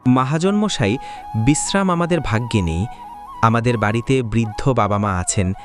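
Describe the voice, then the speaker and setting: A man voicing a humble, flattering poor farm labourer in a cartoon, speaking in a deferential, pleading way. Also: mid-range voice, moderate pace, music in the background, natural voice. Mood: weary, dutiful. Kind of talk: cartoon dialogue acting.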